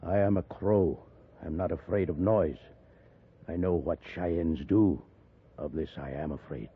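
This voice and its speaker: Very deep, gruff Crow warrior. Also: male voice, a little slow. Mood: grave. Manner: terse broken English with dropped words. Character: stoic, fearless Crow warrior, grimly loyal scout. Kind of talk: 1950s radio western acting. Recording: muffled, narrow-band vintage radio recording.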